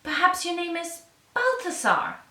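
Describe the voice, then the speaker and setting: A woman's voice: very high voice, big pitch swings, measured pace, bright, forceful tone, voice pushed up, full character voice. A woman voicing an anxious young storybook queen, speaking tentatively and drawing out each guess, in an echoey room. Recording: echoey room, distant microphone.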